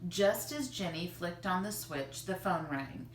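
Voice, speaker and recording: A woman reading a children's story aloud in an expressive, animated storytelling style. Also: on the low side, unhurried. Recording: roomy, distant mic, faint noise.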